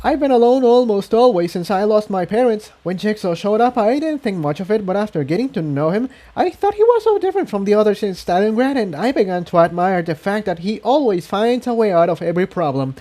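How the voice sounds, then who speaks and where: A man's voice: sits high, sing-song, mellow, pitched above the performer's own voice. A man voicing a shy, guilty young female pony in a story reading, earnest, awkward and hesitant. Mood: nervous confessing. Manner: hesitant, rushed confessing.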